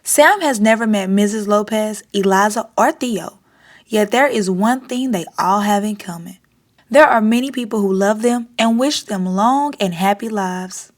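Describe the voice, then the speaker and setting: A woman hosting a storytime, reading a children's picture book aloud in an expressive, deliberate way. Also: mid-range voice.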